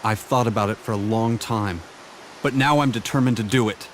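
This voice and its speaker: An actor playing a brash, determined young warrior in a fantasy video game, with a blunt, casual, emotive way of talking. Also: male voice, fairly low pitch, brisk, slight background noise, lightly acted. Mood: defiant, determined. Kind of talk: video game cutscene voice acting.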